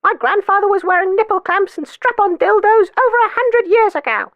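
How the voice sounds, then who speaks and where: This is a grumpy, dismissive lady commentator who grumbles at everything, as a man puts her on. The voice sits very high in a falsetto, and the sound is dry and close. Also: brisk, dry recording, muffled recording. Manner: dismissive, tutting. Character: grumpy woman, old-fashioned social commentator.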